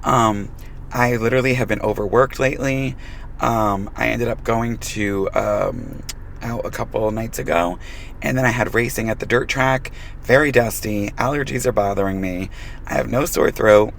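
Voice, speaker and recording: A man who is losing his voice, hoarse, giving frank advice to fellow stylists in a hair colouring tutorial. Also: lowish voice, moderate pace, a bit bright, a little hiss or hum. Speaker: hair colorist.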